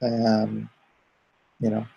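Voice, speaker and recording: A middle-aged man streaming live from home, reading chat aloud and answering it in an easygoing, even-tempered way. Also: on the low side, takes it slowly, creaky, breathy edge, muffled recording. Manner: calls himself soothing and even-tempered; reads chat comments aloud and answers them.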